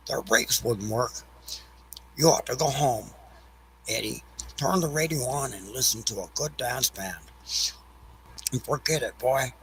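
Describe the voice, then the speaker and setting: A man playing a gravelly, weary old clerk. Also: mid-range voice, sharp tone. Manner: rambling, grumbling, resigned. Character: weary, fatalistic old male clerk, gloomy and resigned. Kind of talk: dramatized audiobook acting. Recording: noisy recording with background ambience.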